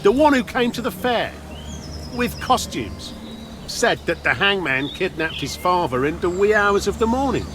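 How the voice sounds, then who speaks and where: A shaken dungeon guard in a game cutscene, fairly high and lively. He is urgent, blunt and rough-spoken, with clear noise behind him. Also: moderate pace. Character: frightened, rough-spoken male dungeon guard reporting horrors. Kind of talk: dramatic video game cutscene acting.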